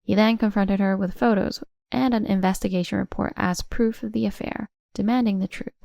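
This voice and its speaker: A woman's voice: mid-range voice, steady tone, fairly quick, vocal fry, warm tone, dry recording, clean studio sound. A woman reading a scripted first-person family drama as its narrator. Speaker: story narrator.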